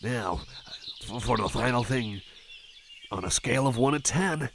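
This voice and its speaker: A man's voice: medium pitch, a bit bright, slight background noise. A man playing a shy, friendly cyborg chum in an ASMR roleplay, stammering, repeating himself and putting himself down. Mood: bashful, eager. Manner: stammering, halting, repeats phrases, self-effacing. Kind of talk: ASMR roleplay, personal attention.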